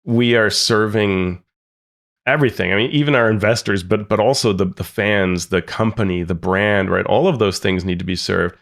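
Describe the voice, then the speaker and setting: A man's voice: fairly low pitch, normal speed, creaky, fairly bright tone. A man co-hosting a podcast, talking earnestly and critically with frequent restarts. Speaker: podcast co-host. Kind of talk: casual discussion.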